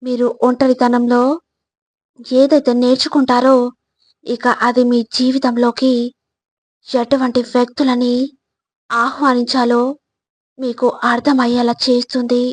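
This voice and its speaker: A woman reading scripted motivational narration in a measured, instructive way, addressing the listener directly. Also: on the high side, monotone, dry recording.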